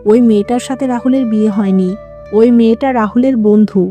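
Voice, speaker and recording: Woman acting a mellow-voiced, stern cartoon mother-in-law. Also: a little high, fast talker, faint music behind, lightly acted. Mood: firm, explaining. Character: stern older mother-in-law ruling the household. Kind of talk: Bengali moral-story cartoon dubbing.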